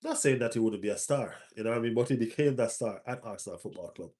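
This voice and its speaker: A man's voice: medium pitch, fast talker, a little mellow. A man with a stuffy nose hosting a casual live stream as a football fan, repeating his phrases for emphasis.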